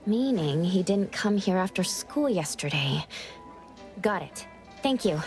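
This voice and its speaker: A woman's voice: on the low side, big pitch swings, measured pace, a little mellow, faint music behind. A woman voicing an earnest young female investigator in a video game, polite, thoughtful and a little hesitant. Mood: inquiring, polite.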